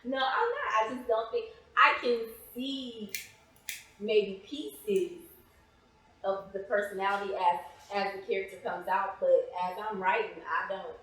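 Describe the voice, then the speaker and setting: A woman's voice: mid-range voice, animated, a little slow, fairly bright tone. An American woman giving casual interview answers as a guest playwright. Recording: very echoey, reverberant room.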